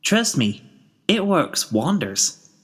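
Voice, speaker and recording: A man's voice: fairly high pitch, big pitch swings, slow pace, breathy edge, pitched above the performer's own voice, exaggerated voice. A man voicing a cheerful, determined young female cartoon pony with a bubbly, chirpy, encouraging manner in a story reading. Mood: upbeat. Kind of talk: fan fiction character reading.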